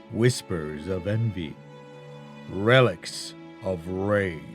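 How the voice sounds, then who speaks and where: A man narrating an audiobook as an ominous, solemn collector of cursed relics, with slow, portentous, deliberate phrasing. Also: medium pitch.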